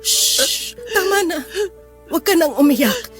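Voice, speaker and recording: A woman's voice: high-pitched, very animated, slow pace, fairly bright tone, full character voice. An actress with a Filipino accent playing a loving, apologetic middle-aged mother in a radio drama, tender and emotional, over music.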